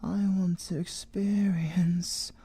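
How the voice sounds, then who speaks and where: A man's voice: high-pitched, little pitch movement, dark tone, slightly rough, exaggerated voice. A British man playing a playful, possessive, seductive siren villain in a theatrical ASMR audio roleplay. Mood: obsessive, seductive. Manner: teasing, theatrical, drawn-out delivery with drops into whispering.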